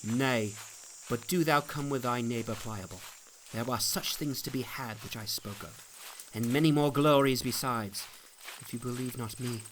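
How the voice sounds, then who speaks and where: A man's voice: mid-range voice, moderate pace, slight background noise, close to an everyday voice, rough recording. A man voicing an earnest, fervent pilgrim who exhorts and pleads in solemn archaic speech, in a dramatic storybook reading. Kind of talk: dramatic reading of an old allegory.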